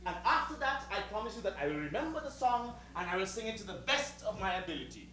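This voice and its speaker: An actor with a Caribbean accent playing a proud, sardonic servant who bosses like a director in a stage comedy, mock-polite and emphatic. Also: male voice, high-pitched, sing-song, fast talker, sharp tone, exaggerated voice. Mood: bossy, exasperated. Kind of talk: live satirical stage comedy acting. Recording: echoey far-off stage recording, humming noise.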